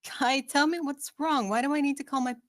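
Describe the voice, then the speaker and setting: A woman's voice: sits high, steady tone, fairly quick, dry recording, studio quality, lightly acted. A woman playing a cheeky, giddy young woman in love at a screenplay table read. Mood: puzzled.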